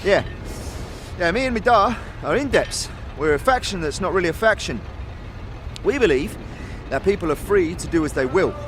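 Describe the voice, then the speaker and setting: A man's voice: sits high, expressive, moderate pace, background noise, pitched above the performer's own voice. A man voicing a friendly, easygoing teenage boy smith who talks in street slang in a fantasy audio drama. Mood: friendly, chatty. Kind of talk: audio drama character acting.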